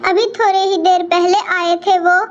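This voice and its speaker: A woman's voice: very high-pitched, level tone, normal speed, lo-fi sound. A woman voicing a studious, determined young cartoon bird, an earnest daughter devoted to her father. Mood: worried. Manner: earnest, pleading. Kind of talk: Hindi cartoon voice acting.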